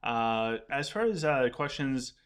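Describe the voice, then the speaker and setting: A man's voice: mid-range voice, big pitch swings, takes it slowly, clean tone. A man commentating his game live and answering viewer questions, chatty and rambling, with his voice starting to go. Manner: chatty, rambling, frequent restarts while playing. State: losing his voice. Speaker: gaming live streamer. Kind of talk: casual live-stream commentary.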